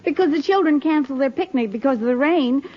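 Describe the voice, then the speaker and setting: A patient American housewife in a vintage radio comedy, soothing and gentle. Her voice is fairly high and very melodic, on a slightly muffled old recording. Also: expressive, quick pace. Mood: mild protest. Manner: gentle, placating. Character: patient, soothing suburban wife and mother. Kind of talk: old-time radio sitcom acting.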